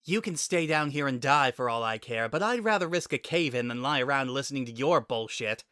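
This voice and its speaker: A defiant armored woman hunter, acted out by a man, bites out sarcastic, sneering lines in a sci-fi audio drama. The voice is pitched well up and sharp, recorded close and dry. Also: expressive, fairly quick, clear, resonant tone, no echo. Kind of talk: dramatic reading of a sci-fi story.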